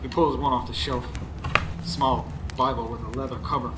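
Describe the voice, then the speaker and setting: A man's voice: medium pitch, fairly even intonation, normal speed, lightly acted. A man as wry radio drama hero, noisy recording. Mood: wry storytelling. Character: narrator hero, nervous, skeptical, unschooled young working man. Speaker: narrator and lead character. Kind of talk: first-person radio drama narration and dialogue. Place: stage before a live studio audience. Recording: live stage recording with clear background noise.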